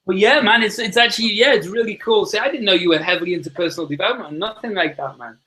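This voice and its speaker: This is a man, talking in a loose, enthusiastic, rambling way. His voice sits a little on the high side for a man. He sounds like a guest in a relaxed podcast chat. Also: fast, slight background noise, poor audio. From Liverpool, England. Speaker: podcast guest, success coach. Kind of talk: casual conversation.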